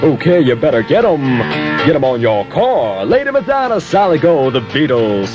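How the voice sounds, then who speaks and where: A man's voice: fairly high pitch, very animated, moderate pace, lo-fi sound, over loud music. An American man in his twenties doing playful, chatty Top 40 disc jockey patter on a vintage 1970 radio aircheck. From Philadelphia, United States. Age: 20s. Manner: playful, chatty, with interjections and asides. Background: pop records playing under the voice.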